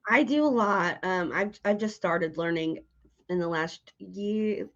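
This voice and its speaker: A woman's voice: fairly low pitch, unhurried, fairly bright tone, dull audio. A woman hosting an interview as a casual chat, informal and giggly in the way she talks. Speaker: interviewer, podcast host.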